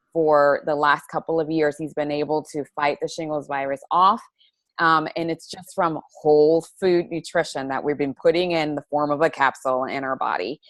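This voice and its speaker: Fortyish woman on a webcam call, low voice, dry sound, earnest and chatty. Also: no echo. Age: 40s. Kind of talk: personal testimonial. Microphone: laptop webcam on a video call.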